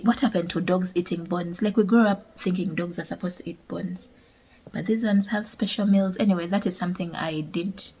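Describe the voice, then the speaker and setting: Husky young Ugandan woman chatting. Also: fairly low pitch, rough recording. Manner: animated storytelling with laughs. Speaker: vlogger. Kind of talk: casual chat.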